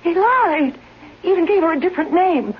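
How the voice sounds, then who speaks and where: A woman's voice: very high voice, lively intonation, normal speed. An actress playing a desperate bedridden wife in a 1970s radio drama, pleading and agitated, convinced she is in danger. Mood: anxious, agitated. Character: desperate bedridden invalid wife fearing she is being poisoned. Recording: muffled old radio recording.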